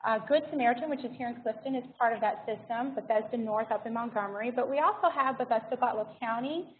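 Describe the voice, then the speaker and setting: A woman's voice: fairly high pitch, fast. An American woman in her forties giving an informal presentation to students as a guest speaker. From United States. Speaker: guest speaker, healthcare diversity director. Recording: thin phone-like sound with faint noise.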